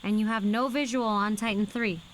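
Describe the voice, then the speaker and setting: A woman acting in an audio drama as a sharp, defiant military lieutenant with a dry, sardonic manner. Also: mid-range voice, steady tone, normal speed, background noise, lightly acted. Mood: brisk, focused. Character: sharp, defiant female military lieutenant, operations radio officer.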